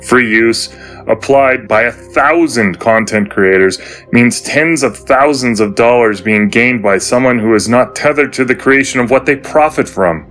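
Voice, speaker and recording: An actor playing a calm craftsman in a fantasy audio drama who speaks in a measured, deliberate way. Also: mid-range voice, soft background music, natural voice.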